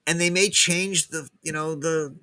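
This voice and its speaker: A man, a guest professor, talking casually in an interview, pausing and repeating words as he thinks aloud. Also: fairly high pitch, steady tone, bright, forceful tone, dry recording. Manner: hesitant, many restarts and repeated words.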